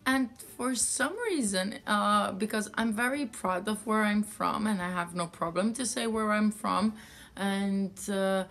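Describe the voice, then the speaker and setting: A woman's voice: mid-range voice, steady tone, a little slow, a bit bright. A woman from France, a yarn dyer telling a story in a casual vlog, rambling with many fillers.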